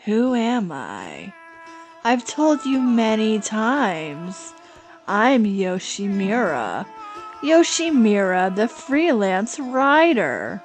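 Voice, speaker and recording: A woman's voice: mid-range voice, sing-song, extremely slow, faint music behind, heavily acted. A woman voicing a male freelance writer in a horror drama, unhinged and sneering, swinging between panic and cold menace. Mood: coldly menacing. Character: freelance writer man, panicky then coldly menacing killer.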